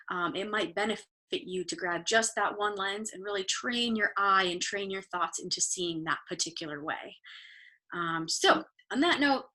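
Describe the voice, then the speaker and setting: A woman in her thirties giving a live online photography talk, chatty and encouraging with many ums, her voice slightly hoarse, heard over a video call. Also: medium pitch, bright, forceful tone. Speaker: photography workshop instructor.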